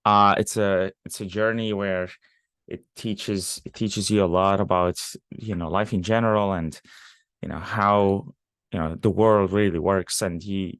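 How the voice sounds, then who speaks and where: A young man from Syria speaks in a low, gravelly register, close to the mic in a dry sound. He talks casually as a podcast guest, halting often with fillers and false starts. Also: steady tone, fairly bright tone, no room sound. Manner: halting, many fillers and restarts. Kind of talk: casual interview talk.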